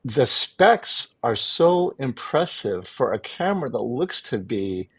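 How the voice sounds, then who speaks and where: A man's voice: medium pitch, very animated, slow pace, sharp tone, slightly rough. A man giving his view on camera prices as a stream panel guest, rambling and restarting his sentences. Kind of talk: casual opinion talk.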